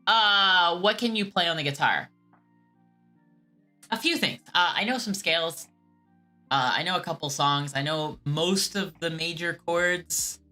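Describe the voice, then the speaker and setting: A woman streamer who does scam baiting, answering viewer questions in a halting, earnest way with frequent restarts. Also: lowish voice, pushed and bright, soft background music.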